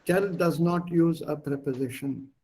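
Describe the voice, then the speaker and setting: A man with an Indian accent, a native Gujarati speaker, teaching an online German class in English over a video call, explaining patiently and slowing down to stress key words. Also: fairly high pitch, dark tone, low-quality recording.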